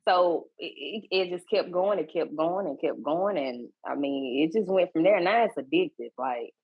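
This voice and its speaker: Husky woman in her thirties. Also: lowish voice, moderate pace, dry recording, lo-fi sound. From Georgia, US. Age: 30s. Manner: relaxed, repeats words and restarts often. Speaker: guest, fitness coach. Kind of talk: casual podcast interview.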